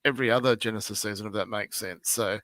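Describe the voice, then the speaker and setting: A man's voice: on the low side, fairly even intonation, fast talker, a bit bright, a little hiss or hum. An Australian man co-hosting a podcast, chatting bluntly with strong opinions and trailing fillers. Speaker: podcast co-host. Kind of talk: casual chat.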